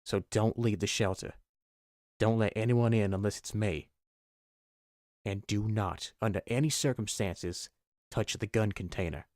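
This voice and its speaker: A man's voice: lowish voice, measured pace, pauses often, a little mellow, no room sound, clean studio sound, lightly acted. A man playing a firm, folksy uncle who leads survivors in an audio horror story. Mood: stern. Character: gruff, commanding uncle leading horror survivors. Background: music.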